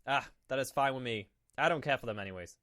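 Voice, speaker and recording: A man's voice: medium pitch, fast, sharp tone, natural voice. A man playing an obsequious, nervous Imperial officer in a Minecraft roleplay, flustered and over-polite. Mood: fawning. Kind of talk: hobby Minecraft roleplay acting.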